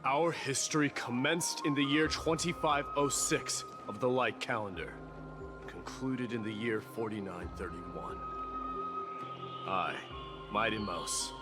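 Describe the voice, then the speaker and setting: An actor playing a proud, solemn young warrior king and war demigod in a game, speaking formally and gravely. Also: mid-range voice, wildly swooping pitch, slow pace. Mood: proclaiming. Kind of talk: scripted game cutscene drama. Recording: game dialogue under loud music.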